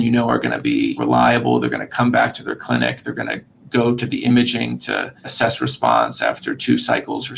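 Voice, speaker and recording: Man with a heavily creaky voice. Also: medium pitch. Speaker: surgeon-researcher guest. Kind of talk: podcast interview explanation.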